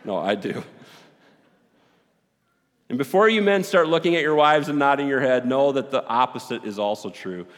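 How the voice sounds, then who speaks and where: A man preaching a sermon with a big voice, joking and animated, swinging between loud and quiet. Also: medium pitch, moderate pace, long pauses. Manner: animated, joking, with rising and falling volume. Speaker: pastor. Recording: long hall reverb.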